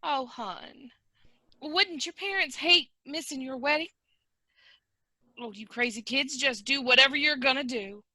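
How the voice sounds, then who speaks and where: Woman playing slow, bright, gushing confidante. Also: sits high, no echo, heavily acted. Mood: fond, amused. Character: Booty, warm gushing female confidante. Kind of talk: amateur play table read. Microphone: video call.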